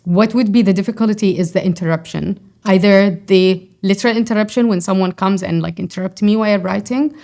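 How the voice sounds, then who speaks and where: A woman's voice: lowish voice, dark tone. A woman in her forties from Yemen, speaking English as a second language with an Arabic accent, answering interview questions thoughtfully with frequent fillers and restarts. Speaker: poet and scholar.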